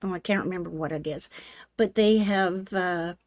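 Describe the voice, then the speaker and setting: An older woman hosting a live sewing demonstration, talking in a homey, unhurried way with many ums, her voice slightly hoarse. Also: lowish voice, no echo, studio quality. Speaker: sewing channel host. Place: home sewing room.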